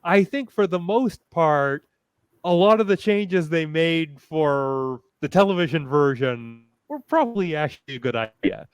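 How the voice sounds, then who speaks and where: A man speaking as a panelist in a fan discussion, hesitant and thoughtful, often halting as he phrases things. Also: on the high side, lively intonation, smooth, dry recording.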